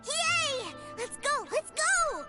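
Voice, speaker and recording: A woman's voice: extremely high pitch, very animated, drawn-out words, full character voice. A woman voicing a restless, naive little boy in a video game, talking in an eager childlike way, with game music behind. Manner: eager, wide-eyed, childlike.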